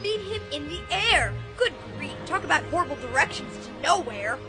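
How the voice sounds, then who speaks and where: A woman playing an excitable, wide-eyed young boy on an adventure in a radio drama, full of boyish exclamations. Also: high voice, normal speed. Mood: exasperated. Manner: breathless wonder, boyish exclamations. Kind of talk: dramatized audiobook, radio theatre acting. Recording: loud music bed behind the voice.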